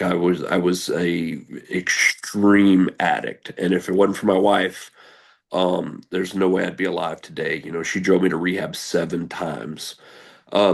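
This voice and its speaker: A man's voice: low-pitched, creaky. A man in his thirties, a wrestler talking as a guest in a casual interview, rambling with lots of fillers and restarts, candid and earnest.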